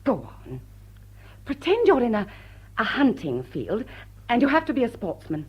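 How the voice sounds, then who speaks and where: Teasing society lady, husky and lilting. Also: a little high, wildly swooping pitch, normal speed, muffled recording, full character voice. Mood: firm, goading. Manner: arch, elegant, mock-sweet. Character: poised, witty, teasing society lady, coolly rebuking. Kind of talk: vintage drawing-room comedy radio drama.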